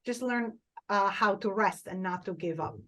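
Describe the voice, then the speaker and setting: Italian-accented woman, warm encouraging guest. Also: mid-range voice, normal speed. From Italy. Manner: earnest, encouraging, peppered with "you know". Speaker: podcast guest. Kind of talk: conversational interview.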